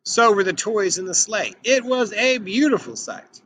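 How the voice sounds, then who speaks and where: Male children's storybook reader, higher-pitched and bright, expressive and emphatic delivery. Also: moderate pace, slight background noise. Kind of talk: expressive read-aloud of a picture book for children.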